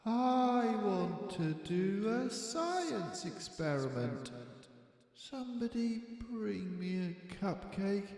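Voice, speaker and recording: A man's voice: high voice, sing-song, darkish tone, pitched above the performer's own voice, full character voice. Man as a whiny little cub in long reverb. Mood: plaintive, pleading. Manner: drawn-out, plaintive. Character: whiny, demanding little bear cub at bedtime.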